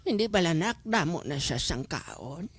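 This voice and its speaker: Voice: mid-range voice, sing-song, moderate pace, very noisy, lightly acted. An actor playing a disapproving middle-aged mother who nags and teases a son in an improvised live drama. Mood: reluctant. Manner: nagging, plain-spoken, repeatedly addressing her child. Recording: loud music bed. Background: loud music.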